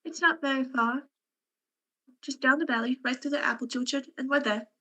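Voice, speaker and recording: A woman's voice: sits high, a little fast, bright, forceful tone. A young woman playing a shy, sweet, deferential eighteen-year-old farm girl in a radio play. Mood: shy, polite. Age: young adult. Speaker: student actor.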